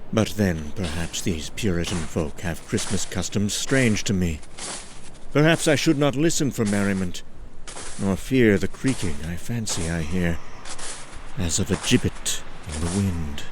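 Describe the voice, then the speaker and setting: A man playing a lonely, uneasy traveller who narrates a gothic horror tale in a brooding, halting, theatrical way. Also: low-pitched, background noise. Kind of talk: dramatic scripted horror narration.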